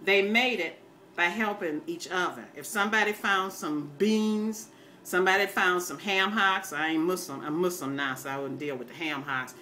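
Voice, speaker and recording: A woman's voice: on the low side, normal speed, pushed and bright. An older American woman giving an impassioned, off-the-cuff rant to viewers in a blunt, scolding, emphatic and folksy way. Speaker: online ranter, self-styled grandma. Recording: noticeable background noise.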